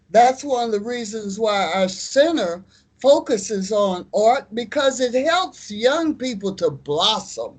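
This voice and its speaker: Older American woman in her seventies, interview guest; measured, emphatic storyteller, slightly high voice. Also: darkish tone. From United States. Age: 70s. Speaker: artist and community activist. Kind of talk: interview answers.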